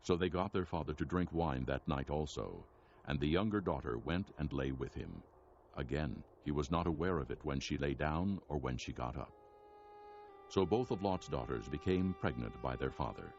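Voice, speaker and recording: A man's voice: very deep voice, moderate pace, darkish tone, lo-fi sound, slight background noise. A man narrating a Bible dramatization in a solemn storytelling style.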